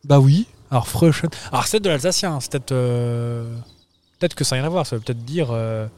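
A man co-hosting a casual podcast from a town square. He is playful and teasing and laughs easily. He has an average-pitched male voice. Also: fairly quick, a little mellow. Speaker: podcast co-host. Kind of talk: casual chat.

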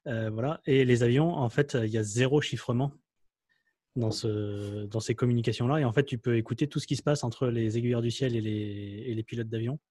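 A man's voice: mid-range voice, warm tone, husky, no room sound. A man in a casual tech chat, telling things in an informal, slangy, anecdotal way. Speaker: podcast panelist.

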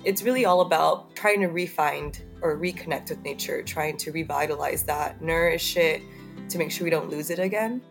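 Lower-voiced woman guest with a cold. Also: moderate pace, vocal fry, faint music behind. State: a little under the weather. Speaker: podcast guest. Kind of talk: casual chat.

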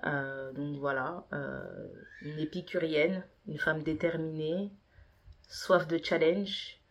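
A woman's voice: low voice, steady tone, slow pace, lo-fi sound. Woman in her 30s, yoruba first language, lots of ums, earnest podcast guest. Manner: hesitant, many fillers, earnest. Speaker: podcast guest, business consultant. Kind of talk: conversational interview answers.

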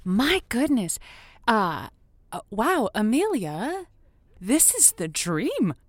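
A woman's voice: on the high side, sing-song, takes it slowly, dry recording, heavily acted. A woman playing an effusive, encouraging romance advice host on a radio call-in show, with emphatic phrasing, in a semi-improvised audio drama.

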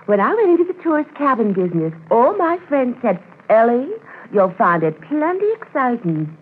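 A woman playing a garrulous, folksy, flattering cabin keeper in a 1950s radio drama, on an old radio recording. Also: a little high, moderate pace. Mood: chatty, impressed. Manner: garrulous, colloquial. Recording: tinny, hissy 1950s radio transcription.

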